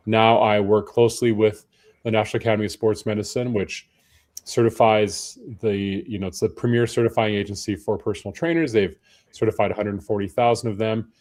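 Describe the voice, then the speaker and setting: A tired, hoarse man speaking as a panel guest, chatting in a friendly, self-deprecating way with frequent restarts. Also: lowish voice, fairly even intonation, fairly quick, a little mellow. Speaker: panel guest, personal trainer. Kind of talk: casual panel conversation.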